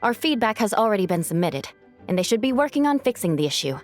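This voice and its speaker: A woman's voice: medium pitch, big pitch swings, lightly acted. Woman voicing a composed, proud young knight captain over music. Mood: mildly rueful. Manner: measured, formal, dryly wry. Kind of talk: video game character dialogue. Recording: studio voice over game music.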